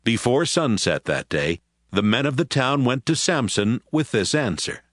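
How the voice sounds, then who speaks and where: A man's voice: mid-range voice, big pitch swings, moderate pace. A man giving dramatic scripted narration for a Bible audio drama, as storyteller.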